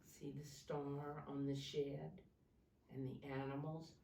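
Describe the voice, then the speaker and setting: Slow, low-voiced woman reading a children's storybook. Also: little pitch movement, vocal fry, mellow. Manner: slow, deliberate, pausing to ask young listeners questions. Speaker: teacher reading a picture book to preschoolers. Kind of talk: expressive children's storybook read-aloud. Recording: roomy echo, distant microphone.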